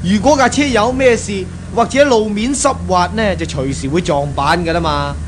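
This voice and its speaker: A man's voice: high-pitched, moderate pace, close to an everyday voice. A man acts out a cautious young boyfriend with a Hong Kong accent. He is anxious and protesting, in 1970s radio-drama style, on an old recording with faint noise behind him. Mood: anxious, reasoning. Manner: flustered, protesting.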